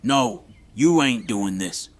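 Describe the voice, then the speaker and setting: An actor playing a gruff, vengeful male survivor in an audio drama, speaking in a terse, folksy, defiant way. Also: mid-range voice, animated, slow pace, background noise. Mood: angry, defiant.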